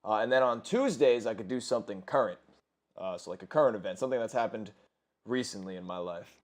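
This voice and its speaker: Profane rambling young man, slightly low. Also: lively intonation, fast. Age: 20s. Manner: crude, profane, rambling with self-corrections. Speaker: gaming commentator. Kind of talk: casual storytelling over gameplay.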